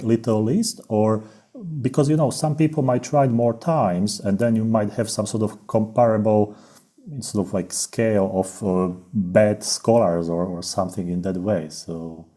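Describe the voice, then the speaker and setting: Male podcast host, curious and tentative; low, mellow voice. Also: normal speed. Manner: inquisitive, tentative. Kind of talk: interview questions.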